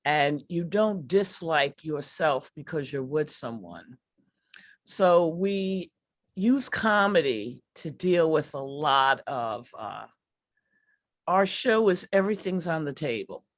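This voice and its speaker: A middle-aged woman, a comedy performer, telling personal stories in a candid, conversational way with deliberate pauses. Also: low-pitched, animated, no room sound, studio quality.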